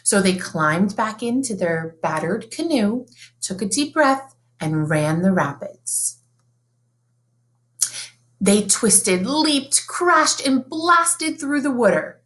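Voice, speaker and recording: A woman reading a children's picture book aloud in an expressive, sing-song storybook manner, like a teacher. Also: mid-range voice, takes it slowly.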